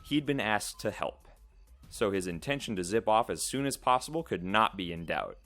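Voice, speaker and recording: A man's voice: on the low side, expressive, moderate pace, fairly bright tone, faint music behind. A man narrating a story in a dramatic storybook style.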